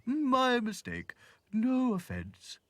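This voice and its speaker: A slow, hesitant giraffe from a children's storybook, acted out by a man. He is polite yet a little suspicious, drawing out his phrases with a very animated rise and fall. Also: very high voice, dry recording, pitched above the performer's own voice, exaggerated voice. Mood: apologetic. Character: mildly surprised male giraffe, suspicious but polite.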